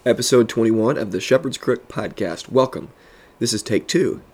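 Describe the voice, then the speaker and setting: A man's voice: mid-range voice, normal speed, background noise. A man who pastors and hosts a podcast, teaching earnestly with a congested voice and building emphasis on his points.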